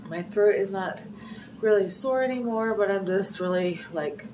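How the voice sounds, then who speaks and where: A young woman vlogs from a small pantry at home, her voice thick and stuffed up from a cold. She rambles along with lots of fillers, a little far from the phone, with a clear hum of room noise behind her. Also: medium pitch, normal speed, a little mellow. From Ohio. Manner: chatty, rambling with many fillers. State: congested from a cold. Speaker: vlogger. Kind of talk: casual vlog to camera. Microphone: phone camera at arm's length.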